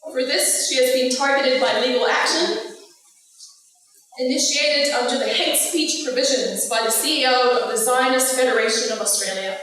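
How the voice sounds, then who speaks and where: A woman from Palestine gives a prepared speech at a lecture, with a measured and impassioned delivery. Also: high voice, fairly bright tone, a little hiss or hum. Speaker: guest speaker. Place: large lecture hall. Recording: echoey hall recorded from far off.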